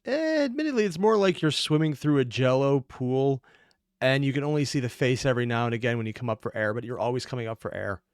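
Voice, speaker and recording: A man's voice: mid-range voice, lively intonation, fast talker, clear, resonant tone, dry recording, clean studio sound. A man giving casual, off-the-cuff commentary as a reaction host, analytical and prone to rambling asides and correcting himself.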